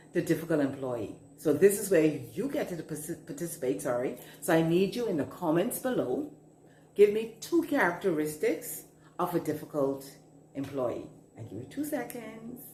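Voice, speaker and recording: A woman's voice: deep voice, a little mellow, reverberant, slight background noise. A middle-aged woman from the Bahamas with a Bahamian accent, a business consultant giving a chatty talk to camera and repeating words for emphasis. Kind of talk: casual instructional talk to camera.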